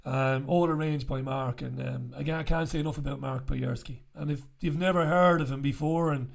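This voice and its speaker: A man in his forties, croaky after an illness, chatting bluntly and casually to camera as a show host in a small room with a studio mic. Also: mid-range voice, fairly even intonation, brisk. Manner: chatty, blunt, swears freely. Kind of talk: casual direct-to-camera chat. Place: small bright room indoors. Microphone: studio mic on stand in front.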